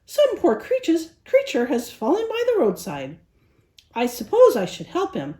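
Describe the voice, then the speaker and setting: A woman's voice: high voice, wildly swooping pitch, measured pace, dark tone, roomy sound, voice pushed up, exaggerated voice. A woman voicing a haughty, prissy rich female poodle in a storybook, exclaiming in an affected, dramatic way. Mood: haughty, fretting.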